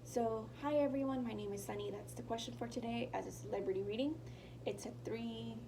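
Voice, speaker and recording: A woman's voice: on the high side, fairly even intonation, a little fast, echoey room, distant mic, noisy background. Nasal, tired woman reading tarot. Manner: rambling, self-correcting, apologetic, drops into whispers. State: nasal and tired from a sinus infection. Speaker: tarot reader. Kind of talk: casual pick-a-card tarot reading.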